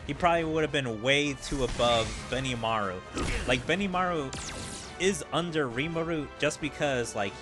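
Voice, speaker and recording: A man streaming a game and giving casual commentary in rambling, hesitant explanations peppered with filler words. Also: medium pitch. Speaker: gaming streamer.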